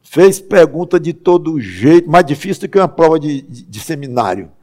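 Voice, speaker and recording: A man, preaching a conversational sermon as a pastor, halting and restarting often and slowing down for emphasis. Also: a little high, very animated, a little mellow.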